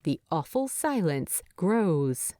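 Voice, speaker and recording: Female storyteller, scripted fiction reading, animated melodic pitch, close mic, very dry sound. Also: takes it slowly, no echo. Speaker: audiobook narrator. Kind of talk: expressive scripted fiction narration.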